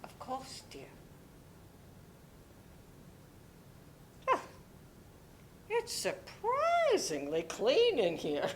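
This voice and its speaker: A woman playing a warm, fussy, motherly older neighbour in a dramatic play reading, doting and fretful as she chats. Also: high voice, huge pitch swings, takes it slowly, a little hiss or hum, exaggerated voice.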